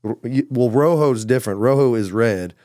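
A man's voice: mid-range voice, moderate pace, warm tone, dry recording, studio quality. A man talking about his songwriting in a casual, rambling way, with lots of "like" and "you know.". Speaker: musician guest. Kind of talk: casual conversation.

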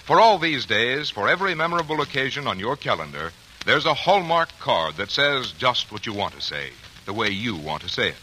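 Smooth radio announcer with lively pitch. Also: male voice, brisk, a little hiss or hum, rough recording. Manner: smooth, persuasive.

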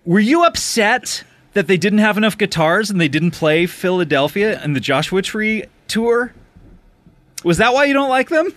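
A man's voice: sits high, animated, normal speed, a bit bright. Rambling, laughing man hosting a podcast. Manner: rambling, joking, laughs often. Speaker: podcast host. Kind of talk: casual podcast chat.

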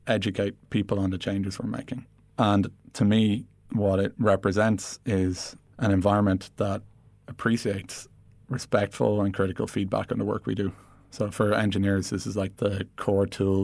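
A man being interviewed, explaining his work casually in thoughtful, halting phrases. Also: fairly low pitch, no room sound. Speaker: engineering executive being interviewed. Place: podcast studio.

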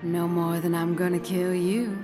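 An actress playing a brazen, hot-tempered country wife in an audio drama, blunt, folksy and theatrical. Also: female voice, lowish voice, level tone, normal speed, close to an everyday voice. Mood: menacing, proud. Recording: long hall reverb, music behind.

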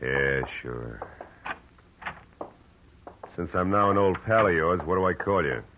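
An actor playing a hard-boiled, world-weary private detective in a 1940s radio drama, terse, wry and tough-talking. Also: male voice, low-pitched, animated, unhurried, dull audio, background noise. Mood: curt, defiant. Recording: vintage radio recording, music underneath.

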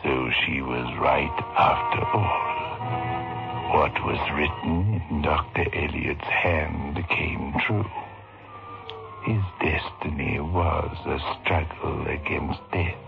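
Sly old-time horror radio host, gravelly, drawling and ghoulish, muffled vintage sound with music underneath. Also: male voice, rumbling low, slow, exaggerated voice. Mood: sly, gloating. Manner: drawling, teasing, macabre. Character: sinister, gloating male horror host, mock-friendly and ghoulish. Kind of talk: 1960s radio horror hosting. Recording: dull, band-limited old radio recording.

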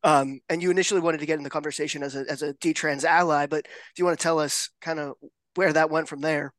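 A man with a fairly high voice hosts a casual interview. His questions are loose and full of fillers and repeated words, and his voice sounds dry and close, with no room echo. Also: fairly even intonation, fast talker, a bit bright. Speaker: interviewer host.

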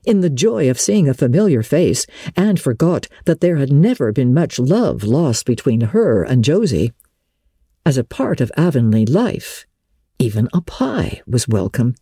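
A woman narrating classic fiction as a dramatic audiobook, with an expressive, measured, literary delivery. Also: rumbling low, breathy edge, dry recording, studio quality.

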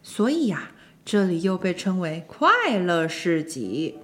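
A chatty little dwarf guide voiced by a woman, very animated and knowing, explains things with a teasing edge. It is a children's storybook character, with faint music behind. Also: lowish voice, slow, pitched below the performer's own voice. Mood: explaining, persuasive. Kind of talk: children's audio storybook acting.

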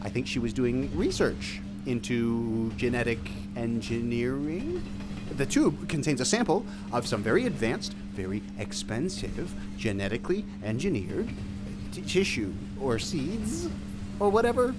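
An actor playing a nervous, stammering thief who is eager to make a deal. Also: male voice, mid-range voice, very animated, unhurried, over loud music. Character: nervous young male thief. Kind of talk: audio drama acting.

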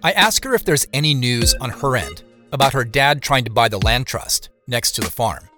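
A man acts an earnest, cautious everyday guy turned sleuth in an audio drama. His pitch moves in a lively way while he stays conversational and measured. Music plays behind him. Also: natural voice. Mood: matter-of-fact. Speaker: lead character and narrator. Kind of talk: scripted audio drama, first-person narration and naturalistic phone dialogue. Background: background music.

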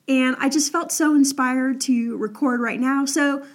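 A woman's voice: high-pitched, steady tone, a little slow. A young woman hosting an advice podcast in a chatty, upbeat way, her voice a little crackly from the early morning. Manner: chatty, upbeat, lots of "like" and "all right?". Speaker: podcast host.

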